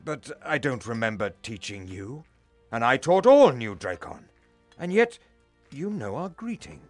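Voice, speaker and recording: An actor playing a grave, honourable veteran warrior commander in a fantasy game, with a formal manner. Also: male voice, medium pitch, big pitch swings, a little slow, no echo, faint music behind. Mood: pondering. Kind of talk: fantasy game cutscene acting.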